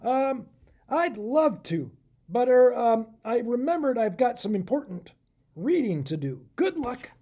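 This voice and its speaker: A man's voice: very high voice, very animated, a little slow, dark tone, voice pushed up, full character voice. A man voicing a cowardly, evasive animal professor in a storybook, hesitant and stammering out his words. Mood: nervous.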